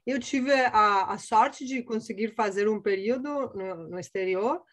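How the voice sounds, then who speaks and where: A woman's voice: mid-range voice, measured pace. A woman from Italy in her forties speaking with an Italian accent, chatting casually as a guest scientist with many fillers and restarts. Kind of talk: casual interview answers.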